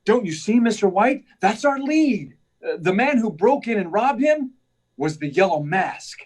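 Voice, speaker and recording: A man's voice: high-pitched, very animated, measured pace, darkish tone, exaggerated voice. A man playing an earnest, determined hero reporter in an old-time radio drama, emphatic and urgent. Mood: concerned, insistent. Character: earnest, determined male reporter, secretly a superhero.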